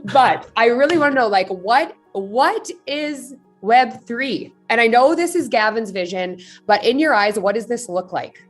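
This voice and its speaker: A woman's voice: on the high side, animated, a little slow, hardly any pauses, soft background music. A woman hosting a casual interview, responding enthusiastically and asking questions. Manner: enthusiastic, affirming. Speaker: host.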